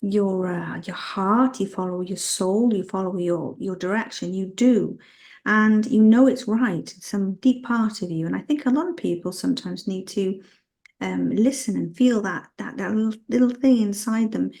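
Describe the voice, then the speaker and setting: Woman interviewer, dark mellow tone with fry, chatty and affirming. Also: mid-range voice, moderate pace. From United Kingdom. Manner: warm, affirming, rambling questions. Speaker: host. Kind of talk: casual interview.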